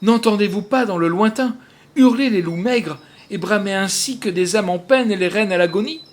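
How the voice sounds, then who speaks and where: A man's voice: high-pitched, normal speed, voice pushed up, lightly acted. A man voicing a loyal, anxious squire who pleads theatrically with his master in a storybook reading. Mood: anxious pleading.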